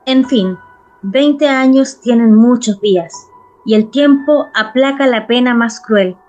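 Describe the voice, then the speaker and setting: A woman's voice: fairly high pitch, takes it slowly, a little mellow, highs cut off. A woman narrating a literary story in a dramatized radio reading. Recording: music underneath.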